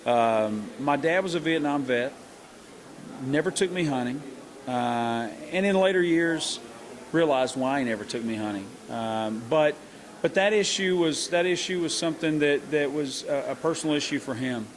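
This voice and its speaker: Earnest middle-aged man, fairly high voice. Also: lively intonation. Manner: earnest, halting with many restarts. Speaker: country singer and hunting show host. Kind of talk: casual interview answers.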